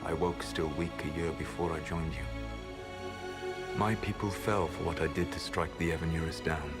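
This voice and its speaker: An actor playing an ancient, secretive male elf mage who speaks in a measured, grave and regretful way. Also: extremely low pitch, fairly even intonation. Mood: resolute. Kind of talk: video game voice acting. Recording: game audio with music score.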